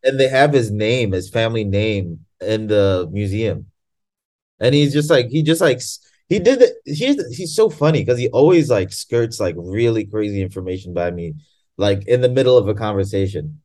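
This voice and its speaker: A man from Tanzania, his voice sitting a little low, talks in a loose, animated way, laughing and cursing easily. He is heard over a video call. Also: normal speed. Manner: animated, laughing, swearing casually. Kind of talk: casual chat.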